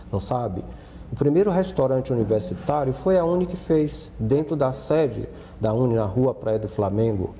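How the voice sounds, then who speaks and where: A man's voice: medium pitch, mellow, big-room sound, slight background noise. A middle-aged Brazilian man from Salvador, a TV studio guest, talking earnestly with frequent restarts. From Brazil, born in Salvador. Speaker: studio guest, former student union adviser. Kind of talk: TV interview talk.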